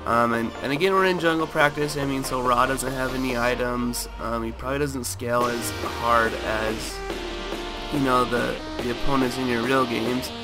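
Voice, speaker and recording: A man with a raspy, just-woken-up voice talking through a game item comparison in a relaxed way, full of fillers. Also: medium pitch, normal speed, low-quality recording. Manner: relaxed, lots of fillers and hedges. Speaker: gaming video host. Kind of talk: casual game commentary. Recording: loud background music.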